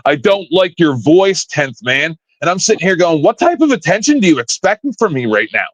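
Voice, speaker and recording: A man's voice: a little high, sing-song, normal speed, slight background noise. A man in his fifties, a panel guest on a live stream, ranting in a blunt, profane, confrontational way from home. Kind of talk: casual live-stream rant. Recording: dull, lo-fi, dry stream audio.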